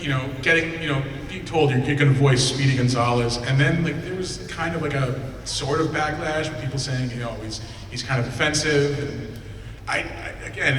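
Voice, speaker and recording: A man moderating a convention panel who asks his questions haltingly, with many restarts. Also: mid-range voice, a bit bright, slight background noise. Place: large convention hall. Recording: echoey hall, distant mic.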